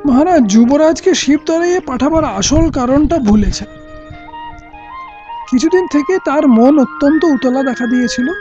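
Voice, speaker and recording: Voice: high voice, normal speed, warm tone, close to an everyday voice. An actor playing a candid male royal minister in an audio play, counselling his king in formal, reasoned, respectful speech. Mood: respectful, explaining. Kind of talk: scripted Bengali audio play acting. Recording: dull lo-fi recording with music.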